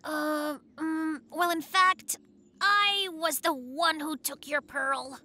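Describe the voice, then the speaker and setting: A woman voicing an excitable, boastful young brown bear cub in a cartoon, full of sound effects and big claims. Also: high voice, slow, exaggerated voice. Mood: sheepish. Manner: hyper, boastful, dramatic storytelling with sound effects. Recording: cartoon audio with loud music.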